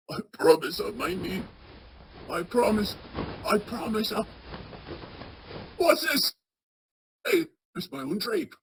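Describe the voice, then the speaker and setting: A woman playing an excitable, repentant old male miser in a radio play, stammering and exclaiming. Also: a little high, a little hiss or hum, rough recording. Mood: desperate, pleading. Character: frightened old male miser, desperately repentant, then giddy.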